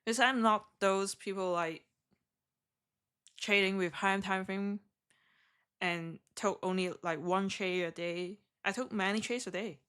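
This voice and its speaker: Hong kong woman on a live trading stream, heavy accent, kinda halting, keeps restarting sentences, chatting with chat. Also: mid-range voice, steady tone, fairly bright tone, clear, resonant tone. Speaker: day trader streaming live.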